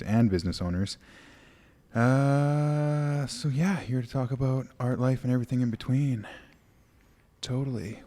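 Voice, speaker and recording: A young man hosting a student podcast, relaxed and playful in casual chat. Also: on the low side. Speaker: podcast host.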